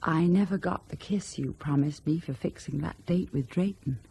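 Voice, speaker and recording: A woman's voice: deep voice, moderate pace, creaky, mellow, slight background noise, poor audio. An actress playing a concerned, flirtatious female colleague in a radio drama. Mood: concerned, coy.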